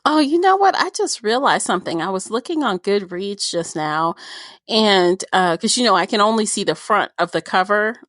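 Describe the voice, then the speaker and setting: A woman's voice: mid-range voice, animated, normal speed, fairly bright tone, dry recording, studio quality. A woman co-hosting a casual book discussion podcast, talking in a playful, jokey, slangy way. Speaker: podcast co-host.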